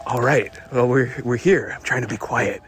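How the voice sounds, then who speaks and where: A man, a hot rod hobbyist, musing to himself in a personal audio diary with halting, wistful, repetitive phrasing. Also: mid-range voice, a bit bright, a little hiss or hum.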